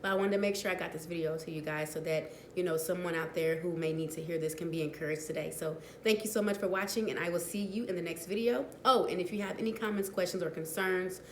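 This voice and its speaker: A woman with a cold talks straight to her viewers, her voice hoarse and raspy. She speaks earnestly and encouragingly, repeating phrases to drive a point home. The room echoes around her, with a faint hiss underneath. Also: fairly low pitch, fast talker, hardly any pauses. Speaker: faith vlogger. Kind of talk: casual encouraging talk to camera.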